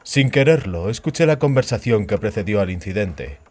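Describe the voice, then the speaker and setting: A man voicing a tall, smug, sinister half-elf in a fantasy audiobook, sonorous and sardonic in an unhurried way. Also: lowish voice, sing-song, darkish tone. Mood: commanding, calm. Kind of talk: audiobook character voice.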